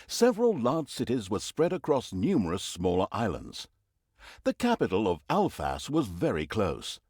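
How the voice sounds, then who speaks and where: A sardonic storyteller narrating a villainous fantasy game. His mid-pitched voice swings dramatically up and down with theatrical, mock-grandiose relish. The sound is dry and close, with no room around it. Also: a little mellow, no room sound. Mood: sly, mock-grand. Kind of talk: scripted comic storybook narration.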